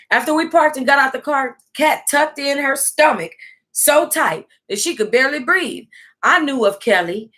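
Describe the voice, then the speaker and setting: High-voiced American woman, animated livestream reader. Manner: animated, salty-tongued, breaking off to react. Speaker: online book club host. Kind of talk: casual livestream read-aloud with running reactions.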